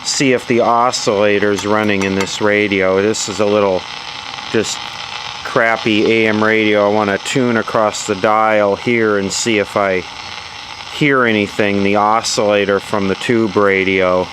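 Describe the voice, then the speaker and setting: Slow-talking, low-key man over background noise. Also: little pitch movement. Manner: low-key, offhand, thinking aloud. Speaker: electronics repair hobbyist presenting his own video. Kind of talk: casual hands-on repair commentary.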